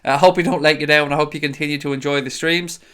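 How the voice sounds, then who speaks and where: A man's voice: fairly high pitch, steady tone, fast talker, fairly bright tone, clear, resonant tone. A man hosting a casual live stream, chatting in a friendly way and greeting viewers by name. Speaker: host of a fan live stream. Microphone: desk microphone.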